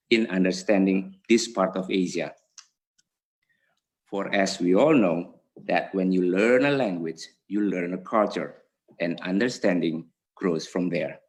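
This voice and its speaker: A man from Indonesia with an Indonesian accent, giving a formal submission to a parliamentary committee and reading prepared remarks with small slips. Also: low-pitched. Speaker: community association vice president.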